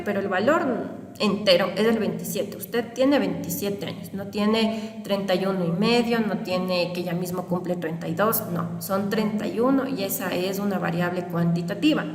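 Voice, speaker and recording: A young woman giving a recorded university lecture, explaining step by step and often checking understanding with rhetorical questions. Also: mid-range voice. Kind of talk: recorded online lecture over slides. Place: small room indoors. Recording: roomy, reverberant, distant webcam audio.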